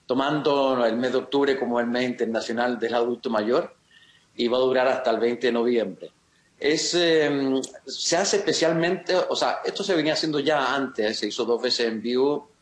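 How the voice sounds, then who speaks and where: A man in his sixties, talking casually in an interview over a video call, halting and often restarting his sentences. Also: medium pitch. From Chile. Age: 60s. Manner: halting, many restarts and fillers. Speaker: actor, interview guest. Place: home room, video call. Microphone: laptop camera mic on video call. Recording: roomy video call audio.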